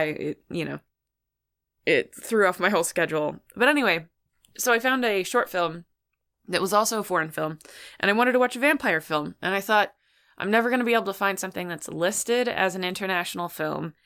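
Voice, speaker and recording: A woman's voice: mid-range voice, fairly bright tone. A woman hosting a solo podcast, talking casually in a rambling, chatty way about what she watches. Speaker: podcast host.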